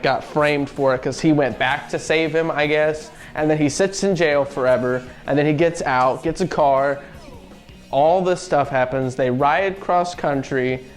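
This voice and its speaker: Higher-voiced man ranting over music. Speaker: co-host of a gaming review show. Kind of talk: casual opinionated film review commentary. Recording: music playing under the voice.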